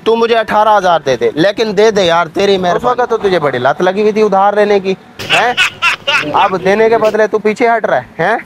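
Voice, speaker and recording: Insistent, high-pitched Pakistani man, noisy outdoors. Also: a little fast. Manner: insistent, repetitive demanding. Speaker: prankster in a street prank video. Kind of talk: staged confrontational prank chat. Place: public park, outdoors. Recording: noisy outdoor park recording, clicks.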